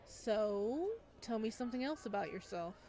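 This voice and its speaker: A woman's voice: a little high, lively intonation, moderate pace, dark tone, some chatter behind, slight background noise. A woman voicing a playful, sassy young man on a date in a visual novel, full of teasing banter. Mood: casual, curious.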